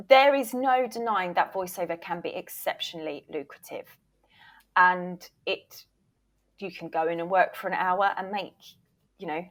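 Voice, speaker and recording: A woman giving candid, emphatic answers as a guest in a conversational interview. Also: mid-range voice. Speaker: podcast guest, voiceover reel producer and former agent.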